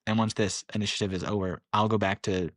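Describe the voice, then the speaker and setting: Deep-voiced reflective young man. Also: steady tone, fast, no echo, clean studio sound. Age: 20s. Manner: reflective, candid, laughs often. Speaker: podcast guest. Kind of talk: casual interview.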